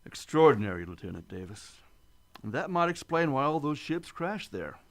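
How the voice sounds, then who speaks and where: A man playing a composed, courteous starship captain in a science fiction radio drama, with a measured, authoritative manner. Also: a little high, sing-song, fairly bright tone. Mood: composed, gracious.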